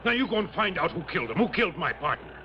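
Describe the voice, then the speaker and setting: Distraught country miner, halting, folksy, very animated pitch; old radio drama, muffled recording. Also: male voice. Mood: anguished, accusing. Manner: halting, repeats himself, folksy uneducated grammar. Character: distraught rustic gold miner who found his partner murdered. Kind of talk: 1950s-60s radio drama acting. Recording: muffled old radio broadcast, faint noise.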